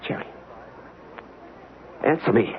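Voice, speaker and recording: An actor playing a practical, skeptical investigator with an insistent, questioning manner in a 1970s radio drama. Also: male voice, slow, natural voice. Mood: alarmed. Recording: muffled, noisy old radio broadcast.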